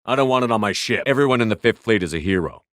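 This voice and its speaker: Guy acting a tough space soldier hero for a video game, deep voice, blunt and commanding, super dry studio sound. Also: expressive, very fast, dry recording, natural voice. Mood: measured, confident. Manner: firm, clipped, authoritative delivery.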